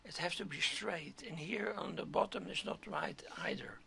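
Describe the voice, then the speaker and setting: A hoarse man walks through a software tutorial at a slow, halting pace. His voice is very gravelly yet bright-edged, and he keeps clearing his throat and slipping into whispers. He speaks into a desktop mic at his computer. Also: high-pitched. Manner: halting, step-by-step, drops to whispers. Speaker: 3D modeling tutor. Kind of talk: screen-recorded software tutorial.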